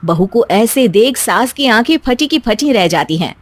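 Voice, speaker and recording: A woman's voice: fairly high pitch, animated, normal speed, no room sound. A woman giving scripted narration for a cartoon story.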